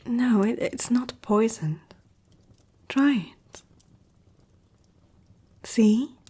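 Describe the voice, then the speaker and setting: A woman playing an ancient, powerful vampire aristocrat in an ASMR roleplay, poised, intimate and seductive, speaking in a breathy half-whisper. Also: on the high side, drawn-out words, mellow, full character voice. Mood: measured, persuasive.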